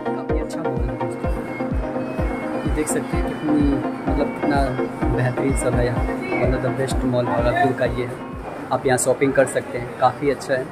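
A man's voice: mid-range voice, level tone, normal speed, loud music behind the voice. Chatty young man touring a mall. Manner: chatty, promotional, asks shop staff about prices. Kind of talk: casual walk-through vlog. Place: busy indoor shopping mall.

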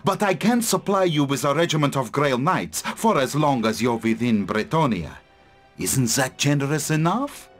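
A man's voice: medium pitch, expressive, normal speed, natural voice. An actor playing a haughty, imperious older king in a video game. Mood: indignant. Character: haughty, bearded older human king, grudgingly generous. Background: music.

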